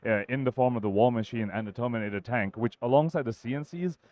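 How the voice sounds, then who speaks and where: A man's voice: medium pitch, fast talker, a little mellow. A man giving analytical live commentary as a caster at a card game tournament. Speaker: esports-style tournament commentator.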